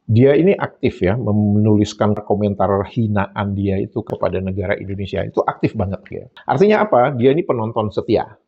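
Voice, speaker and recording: A man's voice: lowish voice, lo-fi sound. A man giving solo commentary, emphatic and mocking, often repeating phrases for effect. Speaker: YouTube commentator.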